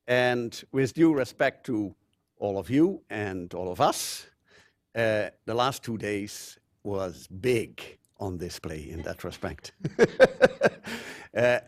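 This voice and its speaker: An older man from the Netherlands with a Dutch accent, giving a measured conference talk with frequent fillers. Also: medium pitch, a little mellow, no echo, studio quality. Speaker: conference speaker. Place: conference room.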